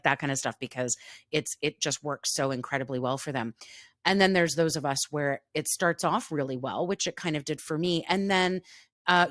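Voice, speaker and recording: A woman's voice: sits low, dry recording, clean studio sound. A woman co-hosting a casual podcast chat, talking openly with lots of fillers and restarts. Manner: chatty, frequent fillers and restarts. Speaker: podcast co-host.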